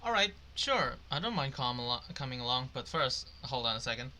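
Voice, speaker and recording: A man giving casual, halting gameplay commentary, muttering to himself as he plays a story game. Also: medium pitch, sing-song, sharp tone, slight background noise. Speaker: gamer playing through a story game.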